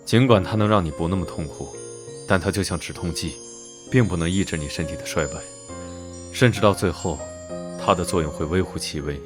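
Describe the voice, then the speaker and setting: Guy voicing cold sardonic noble in an anime, super low measured voice, music playing behind. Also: natural voice. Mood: cool, grave. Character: aloof commanding nobleman, cool and sardonic. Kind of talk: Chinese animated drama voice acting.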